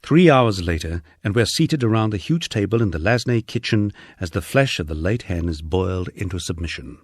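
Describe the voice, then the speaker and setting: A man with a husky voice reading a wry first-person memoir as scripted audiobook narration, dryly humorous and wordy. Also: deep voice, animated, normal speed, dry recording, clean studio sound.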